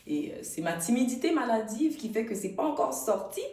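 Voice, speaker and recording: A woman from Canada with a low voice, speaking earnestly as an interview guest, pausing and restarting as she reflects. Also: expressive, a little mellow. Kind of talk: conversational interview. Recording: roomy, distant mic.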